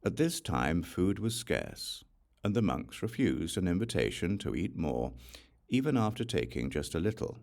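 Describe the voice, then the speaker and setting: Deep, creaky-voiced male audiobook reader. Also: a little mellow. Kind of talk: scripted narration of a religious text.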